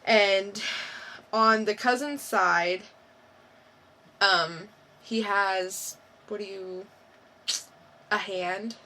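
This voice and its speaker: A woman co-hosting a podcast, giving a casual unscripted review in a halting, unsure way, thinking aloud. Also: medium pitch, steady tone, bright, forceful tone. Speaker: podcast co-host reviewing comics.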